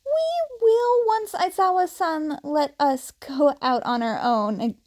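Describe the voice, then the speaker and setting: A woman playing an earnest teenage boy from a storybook, polite and eager. The voice jumps well above her narration, light and boyish, with a slow, careful delivery. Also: high-pitched, expressive, darkish tone, voice pushed up.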